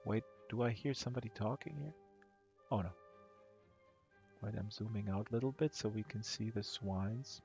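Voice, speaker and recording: Hoarse man rambling excitedly, dull lo-fi audio. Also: on the low side, normal speed, long pauses. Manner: excitable, rambling, gushing with amazement. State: hoarse from talking all day. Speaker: live streamer, virtual world tour guide. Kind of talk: casual live-stream commentary. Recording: dull lo-fi stream audio with music underneath. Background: music playing.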